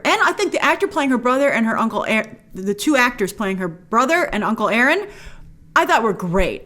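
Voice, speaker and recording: A woman's voice: a little high, fairly bright tone. A woman hosting a review show, giving casual, opinionated commentary in an emphatic way, leaning hard on key words. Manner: emphatic, gushing in praise, stresses key words. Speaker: host of a film review show.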